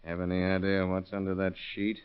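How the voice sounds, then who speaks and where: An actor playing a patient, probing police investigator in a 1930s radio crime drama, on a dull old recording. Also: male voice, low-pitched, monotone, fairly quick, clean tone, natural voice. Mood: firm, accusing. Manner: inquisitive, coaxing, methodical.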